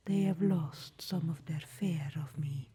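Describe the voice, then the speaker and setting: A woman's voice: deep voice, slow pace, dark tone, husky, exaggerated voice. A woman whispering as an aloof underground ruler. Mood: solemn. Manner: formal, archaic whisper.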